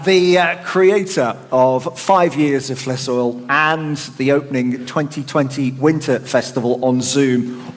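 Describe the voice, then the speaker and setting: Guy co-hosting, slow and halting, cracks jokes, echoey venue. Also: medium pitch. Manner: joking, drawn-out and halting. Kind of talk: live event hosting. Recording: long reverb of a live venue.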